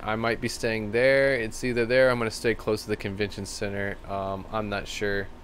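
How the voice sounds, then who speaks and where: A man with a slightly rough, tired voice hosting a live stream, rambling casually and thanking viewers by name. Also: lowish voice, little pitch movement, normal speed, a bit bright, a little hiss or hum. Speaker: livestream host. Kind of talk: casual live-stream chat reading comments.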